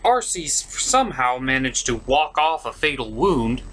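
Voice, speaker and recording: A man's voice: medium pitch, very animated, normal speed, fairly bright tone. Male review host, comedic recap style. Emphatic, exasperated, swooping delivery over faint noise.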